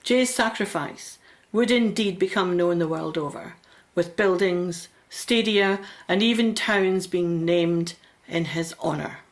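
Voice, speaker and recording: A woman's voice: lowish voice, takes it slowly. A woman narrating a radio drama from a script, in the role of storyteller.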